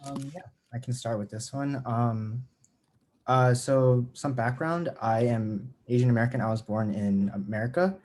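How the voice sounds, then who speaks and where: Young man, late teens, Cantonese native; earnest, halting panelist on a video call. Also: mid-range voice, a little mellow. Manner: earnest, many fillers and restarts. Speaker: student panelist. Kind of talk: webinar panel answer.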